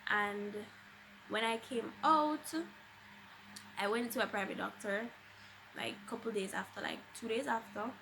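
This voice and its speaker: A woman's voice: mid-range voice, measured pace, vocal fry, long pauses, pushed and bright, a little hiss or hum, rough recording. A young adult woman with a Jamaican accent, chatting casually and expressively as a vlogger telling a personal story, with emphatic conversational delivery.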